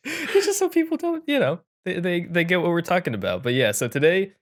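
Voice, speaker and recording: A man hosting a podcast in casual, unscripted chat, with a jokey, halting manner that often interrupts itself. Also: sits high, big pitch swings. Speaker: podcast host.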